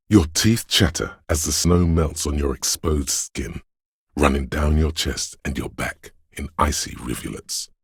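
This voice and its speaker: A man voicing a brooding, omniscient inner voice of a city in a video game, narrating gravely and poetically in a measured, husky, gravelly voice. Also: extremely low pitch, dry recording, studio quality, full character voice. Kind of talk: slow literary second-person game narration.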